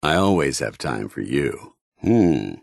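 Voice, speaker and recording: An actor playing a stern, loyal, idealistic soldier companion in a video game, with a clipped, earnest, authoritative military delivery. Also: male voice, rumbling low, big pitch swings, slow, gravelly, a little mellow, no room sound, studio quality, full character voice. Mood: steady, earnest. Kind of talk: video game character dialogue.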